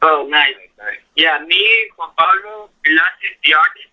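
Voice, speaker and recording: A man raised in Ecuador, native Spanish speaker with an Ecuadorian accent, speaking haltingly and politely as a call-in guest. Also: a little high, highs cut off. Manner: halting, polite, restarts often.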